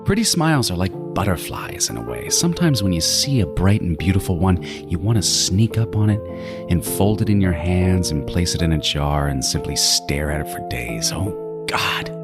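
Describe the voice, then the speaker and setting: Man acting a deep-voiced, creepy, gloating serial killer. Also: expressive, loud music behind the voice. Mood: dreamy, creepy. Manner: theatrical, savoring, deliberate phrasing with sudden swings. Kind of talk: dramatic first-person horror monologue.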